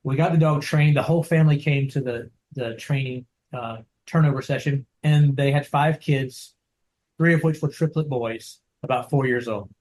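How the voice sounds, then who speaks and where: A man who trains dogs, talking casually over a video call, soft-spoken and rambling, often checking in with "right?". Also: medium pitch, normal speed. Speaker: dog trainer.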